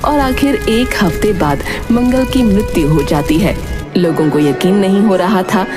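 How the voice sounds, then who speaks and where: A woman's voice: mid-range voice, lively intonation, a little slow. A woman narrating a horror cartoon story in a dramatic storytelling manner. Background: loud music.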